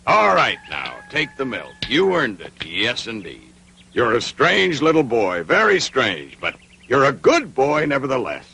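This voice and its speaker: An actor playing a gruff, mocking but kindly farmer in a cartoon dub, drawling and exaggerated. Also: male voice, medium pitch, wildly swooping pitch, measured pace, pushed and bright, heavily acted. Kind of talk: classic cartoon dub acting.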